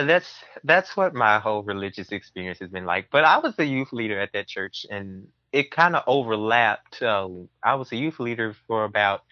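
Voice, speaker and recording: A man's voice: medium pitch, dry recording, highs cut off. A man telling personal stories casually and animatedly as a podcast guest. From southern United States. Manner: animated, acts out quoted speech.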